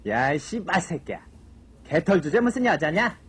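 A man's voice: on the high side, very animated, normal speed, breathy edge, pitched below the performer's own voice. A man acting a curt, scornful young man in a comedy skit. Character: grumpy, foul-mouthed young man in a skit.

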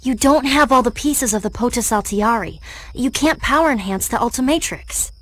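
A woman's voice: a little high, normal speed, dry recording, natural voice. A woman voicing a sensible, serious teenage girl in a video game cutscene.

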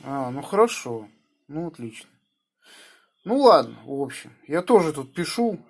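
A man's voice: fairly high pitch, very animated, unhurried, darkish tone, breathy edge. A man talking casually as an amateur radio operator, indoors in a small room. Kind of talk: casual ham radio chat.

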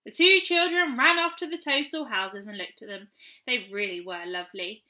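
A young woman reading a children's story aloud in an expressive storytelling style. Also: a little high, moderate pace. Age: young adult. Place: small room at home, indoors.

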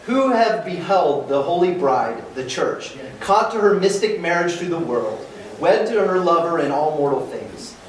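A man's voice: a little high, animated, unhurried, few pauses. A man playing an impassioned, devout rebel holy man in a dramatic reading of an archaic verse play, declaiming his lines. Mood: impassioned, defiant. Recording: echoey conference room, distant, faint noise.

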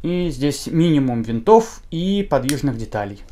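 A young man reviews gadgets in an informal way, speaking at an average pitch. His intonation moves up and down a lot, and he sometimes stumbles on a word and restarts it. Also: very animated, measured pace, mellow. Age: young adult. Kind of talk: informal product review to camera. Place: small indoor room, seated at a table.

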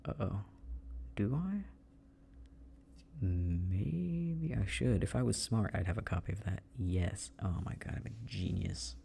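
A young man in an ASMR boyfriend roleplay, muttering softly and distractedly to himself as he works, half in a whisper. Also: fairly low pitch, animated, slow pace, creaky, a little hiss or hum. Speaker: audio roleplay performer.

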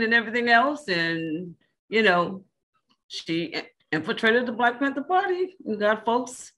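A woman panelist on a video call, telling things in a halting, conversational, anecdotal way. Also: medium pitch, fairly bright tone.

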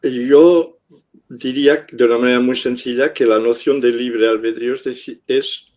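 Older man, unhurried, hesitant, video call from home. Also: medium pitch, a little mellow. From Catalonia, Spain. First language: Catalan. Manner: unhurried, hesitant with many fillers. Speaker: guest scientist. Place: home room indoors. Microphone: video call webcam.

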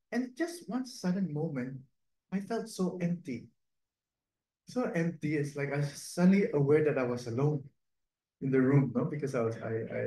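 Gentle priest with an echoey, slightly high voice. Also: male voice. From Cantonese-speaking region. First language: Cantonese. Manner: gentle, conversational, tag "no?" and soft laughs. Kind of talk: homily reflection on Sunday readings. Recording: echoey room.

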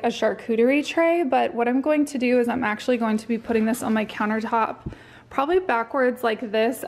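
A young woman giving a chatty, upbeat voice-over for a home vlog, with filler words like "um" and "like.". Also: on the high side. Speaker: home vlogger. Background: faint background music.

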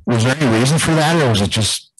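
A man with a husky voice, putting conversational questions as a sports reporter. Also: medium pitch, animated, quick pace, no echo, low-quality recording.

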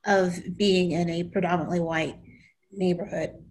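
A woman's voice: on the low side, normal speed, slight background noise. Candid woman coach on a video call. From born in Okinawa, grew up in California. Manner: candid, animated, trailing off softly at times. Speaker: leadership coach and panelist. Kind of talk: panel discussion. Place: small home room indoors. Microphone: laptop camera on video call.

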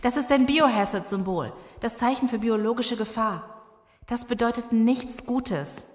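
A woman's voice: on the high side, a little slow, close to an everyday voice. A woman playing an earnest scientist in a German audio drama. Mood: serious. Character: earnest scientist woman explaining a zombie virus. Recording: echoey room sound.

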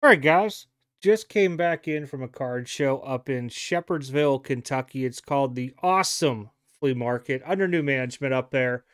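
A man's voice: mid-range voice, normal speed. A man from Ohio talking casually about cards he picked up, rambling and correcting himself as he goes. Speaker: card dealer and vlogger. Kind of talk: casual vlog showing trading cards. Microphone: desk mic, off camera.

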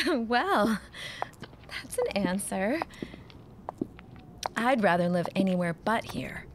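A woman's voice: mid-range voice, sing-song, takes it slowly, background noise. A woman playing a restless, genteel young widow, wistful and wry, in a period audio drama. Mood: wistful longing.

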